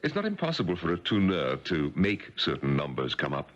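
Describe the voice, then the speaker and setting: An actor playing a blunt, skeptical, wealthy banker in a radio drama, with a gravelly voice and a pompous, commanding manner. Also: male voice, sits low, huge pitch swings, a little fast, full character voice. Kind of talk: 1970s radio drama acting. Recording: dull 1970s radio broadcast.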